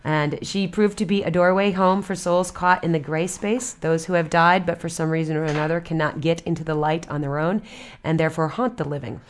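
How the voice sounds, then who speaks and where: A woman's voice: low voice, steady tone, a little fast, a little hiss or hum. Bubbly rambling woman radio host. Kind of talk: casual radio chat. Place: radio studio.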